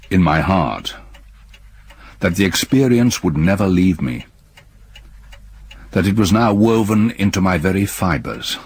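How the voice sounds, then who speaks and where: An actor playing an older stepfather who narrates a haunting memory in a grave, measured voice with a husky tone. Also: deep voice, full character voice. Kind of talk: radio drama acting.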